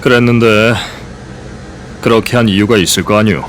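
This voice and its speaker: An actor playing a calm, stern male agent who talks in cool, curt, commanding lines. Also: on the low side, moderate pace, natural voice. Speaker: government agent investigating paranormal cases. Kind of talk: professional audio drama acting. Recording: loud music score underneath.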